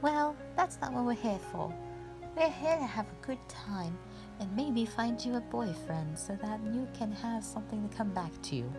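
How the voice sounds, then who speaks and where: A woman's voice: on the high side, lively intonation, moderate pace, over music. A woman voicing a playful, teasing young female soldier, a bubbly and informal best friend in an audio drama. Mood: cheerful. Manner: playful, informal, whiny when tired. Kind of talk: dramatic audio roleplay acting.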